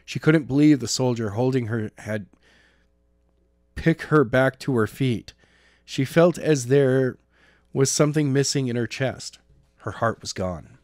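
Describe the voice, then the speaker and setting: A man narrating a novel chapter in a measured, amateur audiobook style. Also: mid-range voice, darkish tone, no room sound, clean studio sound. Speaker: podcast narrator and co-host.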